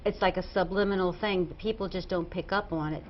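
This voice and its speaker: A woman's voice: lowish voice, steady tone, fairly quick. Casting: woman interview guest, plain and matter-of-fact, dull recording with faint hiss. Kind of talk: interview answers.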